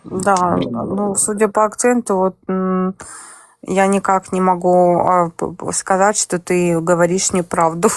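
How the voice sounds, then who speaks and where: A woman's voice: on the low side, little pitch movement, dry recording. A woman hosts a live voice chat, talking in a blunt, skeptical way and teasing the people she speaks with. She sounds close and dry, with no room around her voice. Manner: direct, skeptical, quick to tease. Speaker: stream host. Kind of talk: casual chat.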